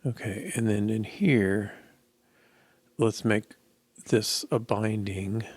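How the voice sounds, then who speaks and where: Man, unhurried pace, musing to himself, casual programmer commentary, close dry sound. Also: on the low side, a little mellow, breathy edge, no echo. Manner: musing, halting, muttering to himself. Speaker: programmer live-coding. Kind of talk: casual think-aloud coding commentary. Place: indoor room at a desk.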